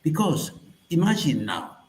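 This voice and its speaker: African male host, English, slow and emphatic, roomy echo. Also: fairly high pitch, wildly swooping pitch. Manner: slow, emphatic, repeating questions. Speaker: panel host. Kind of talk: panel discussion. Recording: echoey room.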